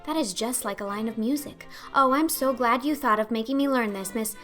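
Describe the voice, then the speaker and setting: Woman playing a high-voiced, dreamy, talkative orphan girl. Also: a little fast, a bit bright, soft background music, natural voice. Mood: rapt. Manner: earnest, effusive, rambling. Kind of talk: audiobook character acting.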